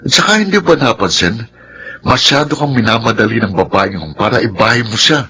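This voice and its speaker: An actor playing an exasperated, admonishing business partner in a Filipino radio drama, with a Filipino accent. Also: male voice, mid-range voice, animated, fairly quick, pushed and bright, a little hiss or hum. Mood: suspicious. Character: nagging, suspicious male business partner, worried family man.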